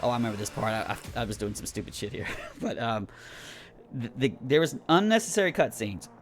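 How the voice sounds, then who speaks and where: A man's voice: medium pitch, very animated, fairly quick, faint music behind. A man giving an unscripted, casual game review in a rambling, blunt, griping and sweary way.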